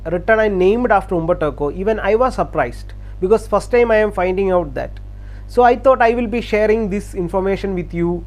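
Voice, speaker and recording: Halting man, high-pitched voice. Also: a little mellow. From Kerala, India. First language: Malayalam. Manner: halting, repeats words, frequent uh fillers. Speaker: channel host. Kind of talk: casual talk to camera.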